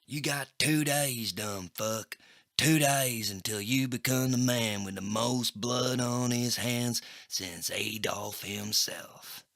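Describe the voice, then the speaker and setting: Guy voicing a nasty sweary gangster villain, slow menacing delivery, gritty creaky voice, audio drama. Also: medium pitch, fairly bright tone, dry recording, clean studio sound, voice pushed up. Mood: threatening. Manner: slow, sneering, threatening. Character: menacing, foul-mouthed male gangster thug, coldly threatening. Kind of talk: comedy sci-fi audio play villain.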